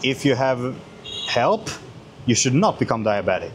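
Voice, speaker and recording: A man's voice: mid-range voice, big pitch swings, unhurried, a little hiss or hum. A man from Sweden with a Swedish accent talks casually and bluntly as a YouTuber answering questions, swearing often and repeating phrases for emphasis.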